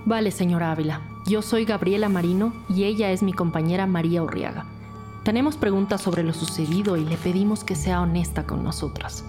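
An actress playing a methodical female detective in a crime audio drama, composed and firm. She speaks formally in a somewhat low voice while music plays behind her. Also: brisk, lightly acted. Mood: formal, probing. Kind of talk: scripted audio drama acting.

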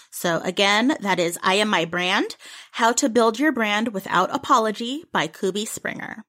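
A woman's voice: medium pitch, a bit bright. A woman co-hosting a book podcast, giving enthusiastic, conversational book recommendations. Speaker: podcast co-host.

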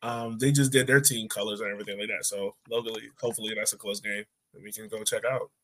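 A man's voice: fairly low pitch, steady tone, fast talker, a bit bright, slight background noise. A man hosting a sports podcast, chatting casually in a folksy, colloquial way. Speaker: podcast host.

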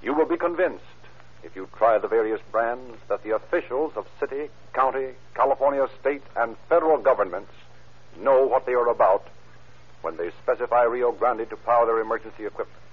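A man announcing in formal, measured 1930s radio sponsor style, with a husky voice. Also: medium pitch. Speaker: announcer and narrator. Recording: narrow, tinny 1930s radio recording.

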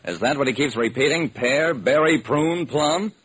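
An actor playing a wry, sharp-witted detective who narrates and acts in an old-time radio drama. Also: male voice, medium pitch, moderate pace, breathy edge. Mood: inquisitive. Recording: noisy vintage radio recording.

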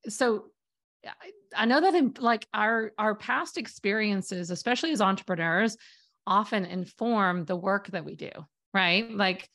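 A woman's voice: mid-range voice, a little slow, fairly bright tone. A woman hosting a podcast interview, enthusiastic and effusive, with lots of fillers as she talks. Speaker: podcast host, storytelling coach.

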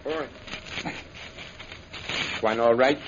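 An actor playing a loyal, terse sidekick who speaks clipped broken English in a 1930s radio serial. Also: male voice, mid-range voice, very slow. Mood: stoic, helpful. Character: loyal male native sidekick, terse and simple-spoken. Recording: muffled noisy vintage radio recording.

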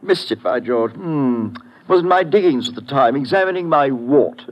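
An actor playing a tweedy upper-class British gentleman, plummy, fussy and blustering, in an old-time radio drama. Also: mid-range voice, lively intonation, moderate pace, lightly acted. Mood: bumbling, affable. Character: tweedy upper-class British gent, silly and two-faced. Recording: muffled, noisy vintage radio recording.

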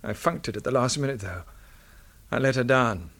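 A man's voice: medium pitch, moderate pace, fairly bright tone. An actor playing a guilt-ridden middle-aged husband and father with a British accent, genteel and placating, in a supernatural screen drama. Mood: guilty, subdued.

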